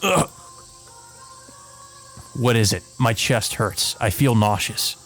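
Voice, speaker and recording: Let's play host, male, lowish register, narrating a visual novel with casual asides over game music. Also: slow pace, fairly bright tone. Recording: music playing behind the voice.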